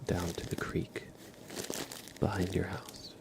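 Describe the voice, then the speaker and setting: A man speaking gently and softly, at times whispering, as an ASMR livestreamer guiding a relaxing scene. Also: low-pitched, flat delivery. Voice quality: soft, partly whispered. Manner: gentle, unhurried, casual. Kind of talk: ASMR, soft relaxing talk and guided visualization. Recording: clear crinkling and ambient noise.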